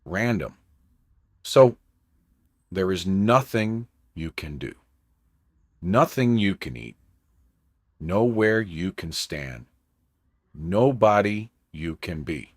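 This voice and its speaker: Grave radio anchorman, really low voice, big swings in pitch, solemn and deliberate. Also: lively intonation, no echo, studio quality, heavily acted. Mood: stunned. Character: grave male radio news anchor holding steady through apocalypse. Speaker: news anchor. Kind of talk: dramatized breaking news broadcast.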